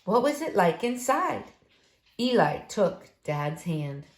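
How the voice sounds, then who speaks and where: Slow, lively woman reading in an echoey room. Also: mid-range voice. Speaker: storybook reader. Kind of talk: expressive children's read-aloud narration. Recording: roomy, echoing room sound.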